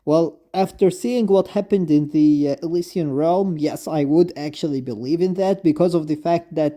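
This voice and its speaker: Mellow, dark-toned man, non-native English speaker, gushing. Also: on the high side. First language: not English. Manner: effusive, halting, repetitive. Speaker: video game commentator. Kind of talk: unscripted fan commentary, reading lines aloud.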